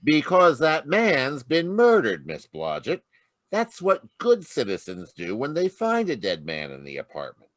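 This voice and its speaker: An actor playing a weary, grouchy, sarcastic homicide lieutenant in an old-time radio detective play. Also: male voice, a little high, huge pitch swings, measured pace, no room sound. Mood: sour, sarcastic. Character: grumpy, weary male police homicide lieutenant. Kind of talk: 1940s detective radio acting.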